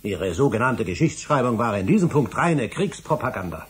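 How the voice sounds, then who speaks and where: Earnest, very husky history scholar. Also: male voice, mid-range voice, very animated, moderate pace. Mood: earnest, insistent. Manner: formal, pleading, emphatic. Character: earnest, obsessive male history scholar, formal and insistent. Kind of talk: German radio drama acting.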